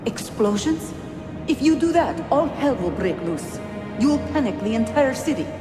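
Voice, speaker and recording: A defiant rebel spy woman in a game cutscene. She speaks bluntly and urgently, protective of her own, with loud music behind her. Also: sits high, very animated, moderate pace, echoey room. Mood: alarmed. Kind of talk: dramatic video game cutscene acting.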